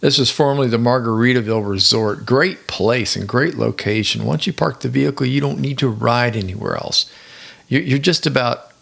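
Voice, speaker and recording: A retired man in his sixties hosting his own podcast, hoarse from singing, chatting in a rambling, easygoing storyteller manner. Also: mid-range voice, moderate pace, fairly bright tone. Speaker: podcast host. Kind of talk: casual solo podcast monologue.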